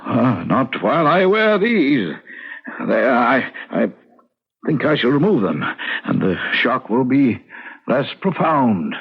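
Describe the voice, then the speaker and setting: A man's voice: mid-range voice, sing-song, slow, breathy edge, exaggerated voice. A man playing a kindly, trusting country minister in an old-time radio drama, hesitant and flustered, repeating his words. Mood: gentle. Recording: muffled old radio recording.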